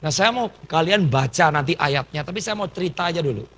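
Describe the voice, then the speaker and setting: A man's voice: on the high side, animated, fairly quick, fairly bright tone. A man in his thirties from Indonesia, a pastor telling his life story in a conversational sermon and dropping in English phrases. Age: 30s. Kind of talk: testimony sermon.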